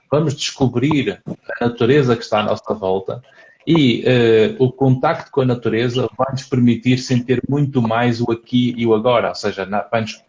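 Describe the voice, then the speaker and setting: A man's voice: mid-range voice, a little mellow, dull audio, slight background noise. A man with a European Portuguese accent, a psychologist hosting a casual live chat, talking thoughtfully and hesitantly with many fillers. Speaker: psychologist host.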